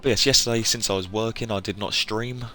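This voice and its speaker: A man's voice: lowish voice, steady tone, brisk, pushed and bright, slight background noise. A man livestreaming a video game, chatting casually with viewers in an earnest, effusive way and repeating himself for emphasis.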